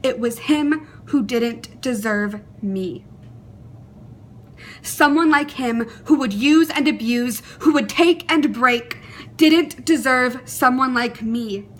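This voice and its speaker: A young adult woman performing a dramatic monologue in an earnest, deliberate, emotive way, with pauses. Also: high voice, a bit bright.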